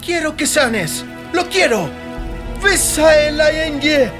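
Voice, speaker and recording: A woman's voice: high-pitched, lively intonation, takes it slowly, over music. A woman playing a fierce, proud young sorceress girl in an audiobook drama, declaring things emphatically. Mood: desperate incantation.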